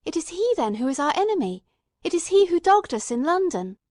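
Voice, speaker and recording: Woman voicing earnest, incredulous gentleman, high-pitched. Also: very animated, a little fast, dry recording, clean studio sound. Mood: urgent, questioning. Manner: urgent, incredulous questioning. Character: earnest, astonished male companion questioning a detective. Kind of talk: audiobook-style dramatised reading.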